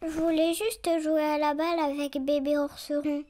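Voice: sits high, flat delivery, normal speed, close to an everyday voice. A performer voicing an eager, kind little boy bear cub in a preschool cartoon, earnest and childlike. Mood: hesitant, earnest. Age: child character. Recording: music behind the voice.

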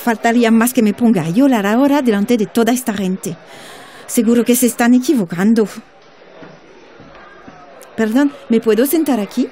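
A woman's voice: on the high side, normal speed, pauses often, warm tone, natural voice. An actress playing a shaken woman journalist who is holding back grief in a radio drama. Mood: distraught, restrained.

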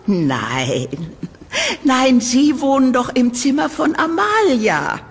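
Eccentric old landlady, swooping and high. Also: very animated, slightly rough, heavily acted. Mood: sweetly eerie. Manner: theatrical, halting, doting, with nervous chuckles. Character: eccentric old landlady, sweetly eerie and gushing. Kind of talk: audio drama acting. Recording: reverberant room, background noise.

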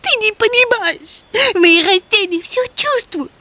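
Cartoon role: sensitive, fretful little lady pig, extremely high voice, slow delivery, music underneath. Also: pushed and bright, dry recording, full character voice. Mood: plaintive, upset. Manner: plaintive, fretful. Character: tearful, sensitive female winged pig who dotes on plants. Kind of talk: children's cartoon acting. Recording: music behind the voice.

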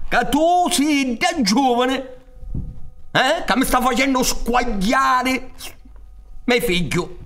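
A man's voice: very high voice, fairly bright tone, voice pushed up, full character voice. A man voicing a teasing, fatherly old fairy-tale king with a Sicilian accent, emphatic and theatrical. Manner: theatrical, emphatic, drawn-out words.

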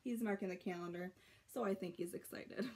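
A young woman reading a children's picture book aloud, expressively, with drawn-out emphasis and dramatic pauses. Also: medium pitch, a little mellow, a little hiss or hum. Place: small bedroom at home.

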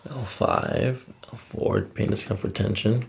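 A man's voice: fairly low pitch, fairly even intonation, a little slow, gravelly, in a whisper. A middle-aged man working as a chiropractor, talking a patient through a hands-on exam in short clinical questions and instructions. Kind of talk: hands-on patient examination talk. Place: small carpeted treatment room, indoors.

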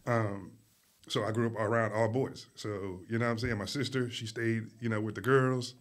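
A man raised in Philadelphia, whose first language was Arabic, talking casually as a podcast guest in a school studio, telling his story in a relaxed way with many fillers. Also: lowish voice, moderate pace. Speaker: guest, artist and art teacher.

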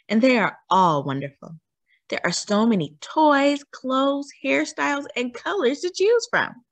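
A woman with a husky voice reading a children's picture book aloud expressively, putting questions to young listeners. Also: mid-range voice, no room sound. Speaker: read-aloud storyteller for children.

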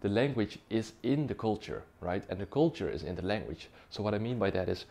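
A man's voice: on the low side, animated, brisk, gravelly, mellow. A young man whose first language is Dutch, explaining at a whiteboard in a casual way and often checking in with "right?" and "okay?". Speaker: language-learning YouTuber. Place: small dim room indoors.

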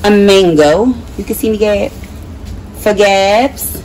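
Woman, middle-aged, medium pitch, casual vlog narration, distant noisy home recording. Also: takes it slowly, a little mellow. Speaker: vlogger, mother. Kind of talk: casual grocery haul narration. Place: home kitchen, indoors. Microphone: camera mic at a short distance.

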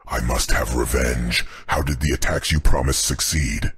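A man voicing an imperious, vengeful demon king in a very deep, booming, slow and pompous audiobook performance. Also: steady tone, fairly bright tone, breathy edge, no echo, clean studio sound, full character voice. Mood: angry. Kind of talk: audiobook character acting.

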